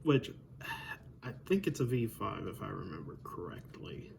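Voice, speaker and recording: A man aged twenty-one giving a casual, unscripted demonstration as a hobbyist, halting and pausing mid-sentence. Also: fairly low pitch, very animated, creaky, darkish tone. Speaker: hobbyist showing weather radios. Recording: home recording with faint background noise.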